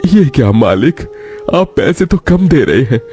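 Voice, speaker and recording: A man's voice: medium pitch, sing-song, unhurried, a little mellow, faint music behind. A man playing a poor, worried older farmer father in a cartoon story, speaking in a plaintive, pleading way. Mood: humble, pleading. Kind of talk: Hindi cartoon story acting.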